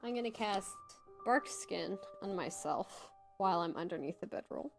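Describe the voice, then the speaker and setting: A woman playing a tabletop game, chatting casually and thinking her moves through aloud in a deliberate way. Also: mid-range voice, lively intonation, creaky. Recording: music behind the voice. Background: game soundtrack music.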